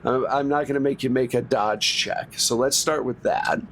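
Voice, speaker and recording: A man acts as game master for a tabletop game. His voice sits at a middle pitch as he narrates conversationally and talks players through the rules. There is clear noise behind him. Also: steady tone, normal speed, a bit bright. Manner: conversational, narrates in second person. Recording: noisy recording with clear background noise.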